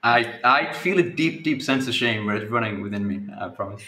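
A man's voice: medium pitch, animated, normal speed, a crowd in the background. A man from northeast England with a Geordie accent, hosting a casual podcast chat in a chatty, self-deprecating way. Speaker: podcast host. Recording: roomy sound with faint ambience.

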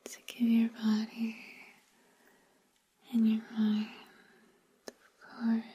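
A woman softly whispering a guided sleep hypnosis in ASMR style, gentle and soothing, leaving long pauses. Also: a little high, monotone. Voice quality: soft, breathy whisper.

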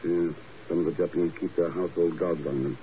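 An actor playing a cool, commanding secret agent in a 1950s radio drama, speaking in a clipped, deliberate way. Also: male voice, low voice, fairly even intonation, mellow, natural voice. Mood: intent. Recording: muffled, hissy old radio recording.

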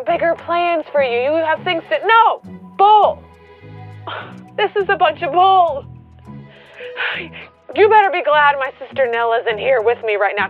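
A grieving rural woman in a radio play. Her high voice pleads and argues in exasperation, sometimes stammering. The sound is thin like a phone line, with music behind it. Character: grieving, angry rural woman arguing with God.

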